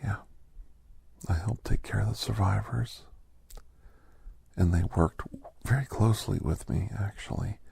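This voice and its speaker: Male actor as genial old scientist, low husky voice, very creaky, slow folksy ASMR storytelling. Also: a little mellow, full character voice. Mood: confiding, reminiscing. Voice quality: breathy, gravelly half-whisper. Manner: unhurried, folksy, halting, conversational. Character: genial old doctor, a retired secret government scientist, reminiscing. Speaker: storytelling podcast host in character. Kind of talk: ASMR storytelling, soft-spoken roleplay.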